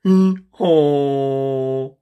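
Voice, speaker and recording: A storyteller voicing a comic storybook donkey with an exaggerated, drawn-out braying hee-haw. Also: mid-range voice, clear, resonant tone, highs cut off.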